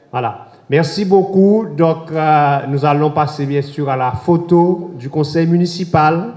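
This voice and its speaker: A hoarse man, the mayor, giving a measured formal closing speech to an assembly. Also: mid-range voice, warm tone. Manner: measured, addressing an assembly with thanks and invitations. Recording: roomy echoing council chamber.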